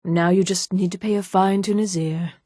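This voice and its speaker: Haughty high elf woman, voiced by an actress, close and dry. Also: fairly even intonation, normal speed, dark tone, dry recording, lightly acted. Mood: composed, secretive. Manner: haughty, condescending, crisp articulate delivery. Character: haughty high elf woman, proud, menacing fantasy game character. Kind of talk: scripted video game voice lines.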